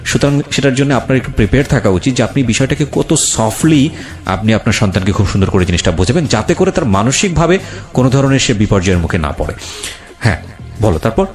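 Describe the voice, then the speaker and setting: A man's voice: medium pitch, lively intonation, fast. A man with a Bangladeshi accent hosting a talk show, giving earnest, emphatic advice to listeners and mixing in English phrases. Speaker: radio show host. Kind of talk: conversational commentary and advice to listeners. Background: background music.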